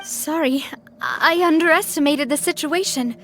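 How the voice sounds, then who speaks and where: High-voiced young female gang boss. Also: unhurried, a bit bright, a little hiss or hum. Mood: urgent, resolute. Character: warm young woman boss of a protective gang. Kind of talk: anime-style video game voice acting. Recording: faint music behind the voice.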